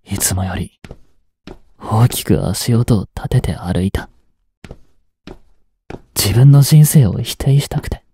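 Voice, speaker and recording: A man in his twenties playing a remorseful, grieving young hitman in a voice drama, breathy and anguished. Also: fairly low pitch, lively intonation, warm tone, heavily acted. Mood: bleak, hushed. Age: 20s. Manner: anguished, halting, intimate. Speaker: voice actor. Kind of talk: Japanese voice drama monologue, emotional acting.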